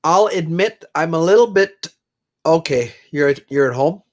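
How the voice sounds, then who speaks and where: A man's voice: on the high side, expressive, moderate pace. A middle-aged man who is congested, rambling casually on a live stream from his own room and greeting viewers by name. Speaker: livestream host.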